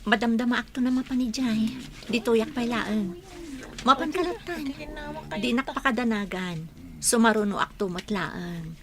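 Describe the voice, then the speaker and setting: A woman's voice: on the high side, normal speed, natural voice. A woman with an Ilocano accent playing a sorrowful older woman who leans on her friends in a radio drama. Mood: reluctant. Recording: crowd chatter behind.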